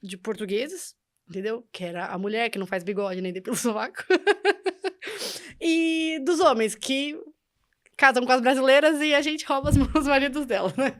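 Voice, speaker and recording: A young woman with a Brazilian accent talks as a guest on a podcast. Her voice sits fairly high, and she speaks in a chatty, informal storytelling way. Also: moderate pace. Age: young adult. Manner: chatty, informal, often laughing. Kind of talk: casual conversational storytelling.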